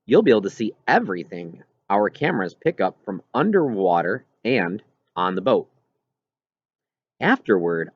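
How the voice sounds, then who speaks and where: Kindly whale researcher for an audiobook male reader. Reassuring and explanatory, low register, animated phrasing. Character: kindly adult whale researcher writing an apologetic email. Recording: dull, lo-fi recording.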